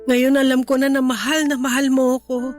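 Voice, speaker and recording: A woman acts out a middle-aged Filipino mother in an emotional radio drama. Her voice is high and expressive, full of affection and regret as she pleads tenderly. Music plays softly behind her. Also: normal speed, lightly acted. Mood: tender, grateful. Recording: music bed under the dialogue.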